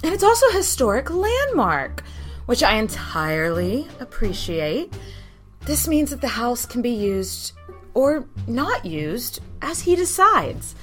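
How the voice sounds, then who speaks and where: A woman's voice: a little high, big pitch swings, unhurried, faint music behind. A woman playing a gracious, genteel mayor's wife giving a diplomatic interview in an audio drama. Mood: diplomatic, assured. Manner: poised, theatrical, genteel. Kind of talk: acted talk show interview in audio drama.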